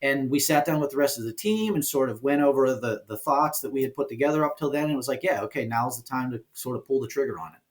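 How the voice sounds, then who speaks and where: A man talking casually as an interview guest, explaining his work in an informal, halting way. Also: mid-range voice. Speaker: guest, indie game developer and producer.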